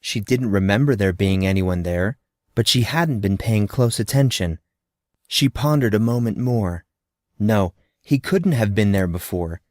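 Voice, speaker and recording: A man narrating scripted fiction as a storyteller, with a dramatic, expressive delivery. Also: lowish voice, unhurried, no echo, studio quality.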